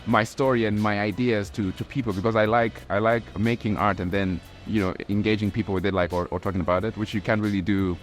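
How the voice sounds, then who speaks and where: A young man from Tanzania, a graphic artist, talking in a relaxed, reflective way with many restarts and fillers. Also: on the low side, fast talker, hardly any pauses. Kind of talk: casual interview talk. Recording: faint music underneath.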